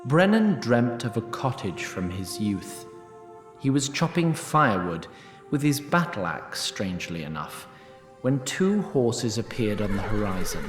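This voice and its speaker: A man narrating a fantasy audio drama from a script in a measured, dryly told storytelling style. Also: mid-range voice. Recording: echoey, music behind.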